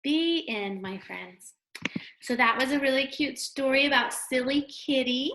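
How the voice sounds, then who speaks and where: A woman's voice: high-pitched, a little slow, a bit bright, a little hiss or hum. A woman teacher giving a read-aloud lesson to young children, speaking simply and asking them questions, recorded at home. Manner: simple, child-directed talk with questions and sounded-out letters.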